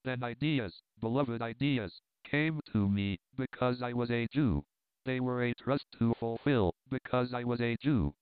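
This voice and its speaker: A man voicing a frail, dying and fervent visionary who speaks quietly and measuredly, as if saving his strength. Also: medium pitch, unhurried, clear, resonant tone, no echo, natural voice. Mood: solemn. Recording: dry, tinny phone-like sound.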